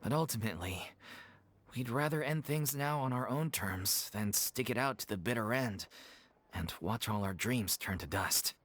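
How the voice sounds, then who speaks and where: A man's voice: mid-range voice, expressive, normal speed, a bit bright, a little hiss or hum, lightly acted. A man voicing a worried, earnest young actor in a theater troupe in a video game. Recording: game soundtrack music behind.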